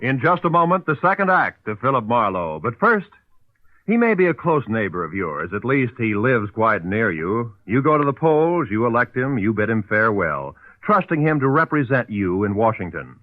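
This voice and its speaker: Old-time american radio announcer guy, formal and punchy, lively pitch, tinny dry sound. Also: moderate pace, dry recording. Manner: emphatic, formal. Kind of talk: 1940s radio announcing, scripted promo.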